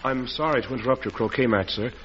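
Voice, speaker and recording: A man's voice: mid-range voice, fast talker, fairly bright tone, natural voice. Man as polite young messenger, muffled old radio. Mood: respectful. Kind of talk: old-time radio drama acting. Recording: dull, noisy vintage radio recording.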